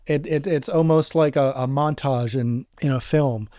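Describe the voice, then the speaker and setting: Middle aged guy chatting on a podcast, crisp smooth voice, dry close sound. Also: medium pitch, normal speed, warm tone, dry recording. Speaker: co-host, audio drama producer and voice actor. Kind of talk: casual podcast chat.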